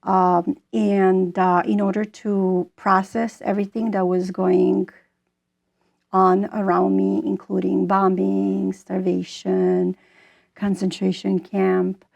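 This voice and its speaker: A woman in her forties from Bosnia, speaking English, answering as an author in an interview with thoughtful pauses and fillers. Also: mid-range voice, monotone, vocal fry, darkish tone. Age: 40s. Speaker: author and guest.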